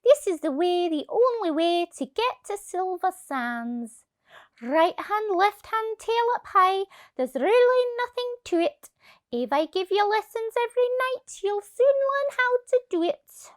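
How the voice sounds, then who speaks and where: A cheerful female acrobat from a storybook, acted by a woman. Her voice is high and lively, giving instructions in an encouraging way. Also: a little slow, full character voice. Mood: bright, encouraging. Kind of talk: children's picture book character voice.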